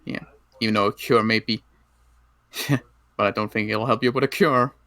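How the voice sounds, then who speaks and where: A man playing a cautious ex-military zombie apocalypse survivor in an improvised game roleplay, speaking haltingly and often rephrasing. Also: on the low side, fairly even intonation, pushed and bright, dry recording, studio quality. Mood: cautious, serious.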